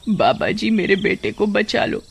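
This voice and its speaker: Man as an anxious older mother, high voice. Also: animated, measured pace, vocal fry, fairly bright tone, slightly rough, exaggerated voice. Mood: imploring. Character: frightened, anxious older mother of a grown son.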